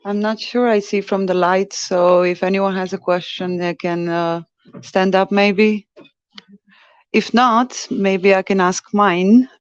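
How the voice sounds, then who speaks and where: A woman's voice: medium pitch, fairly even intonation, slow pace, clean tone, no echo, lo-fi sound. Tentative woman moderating a Q&A. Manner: tentative, searching. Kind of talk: moderating a festival Q&A.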